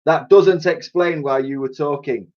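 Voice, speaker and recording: A man voicing a pompous, bossy museum head of security, booming his words in a storybook reading. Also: unhurried, darkish tone, lightly acted. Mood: exasperated. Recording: dull lo-fi recording.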